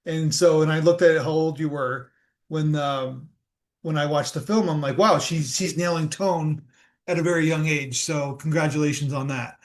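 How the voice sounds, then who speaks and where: A man hosting a podcast interview, asking relaxed and encouraging questions with filler words. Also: a little high, normal speed. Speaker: podcast host.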